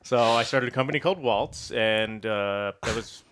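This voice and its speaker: A man's voice: mid-range voice, moderate pace, a bit bright, slight background noise. A laid-back man from Las Vegas, a guest on a podcast, talking casually and rambling with lots of fillers. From Las Vegas, USA. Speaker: guest, tech developer. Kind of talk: casual interview chat.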